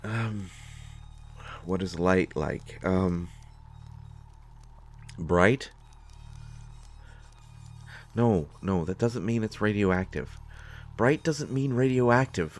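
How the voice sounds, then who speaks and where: Low-voiced, stammering, nervous man, acted out by a man. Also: faint music behind. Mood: awkward, explaining. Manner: halting, stammering, hesitant. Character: nervous, awkward, well-meaning man in a dark sci-fi mystery. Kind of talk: solo scripted audio drama, conversational acting.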